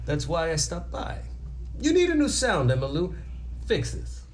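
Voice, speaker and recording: Man playing a suave, high-pitched, swooping businessman. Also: wildly swooping pitch, a little hiss or hum. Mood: veiled threat. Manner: halting, with hesitant pauses. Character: suave, rich Italian businessman, apologetic yet quietly menacing. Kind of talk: comedy script table read.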